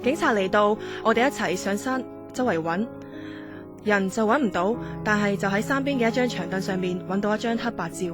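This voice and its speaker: A woman's voice: mid-range voice, brisk. A woman with a Hong Kong Cantonese accent giving scripted first-person narration for a radio horror drama. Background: loud music.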